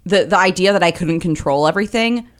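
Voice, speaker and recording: A woman in her thirties with a lower voice, chatting as an interview guest in a loose, self-deprecating way with many fillers. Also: fast. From Vermont Northeast Kingdom. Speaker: guest comedian. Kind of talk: casual interview conversation.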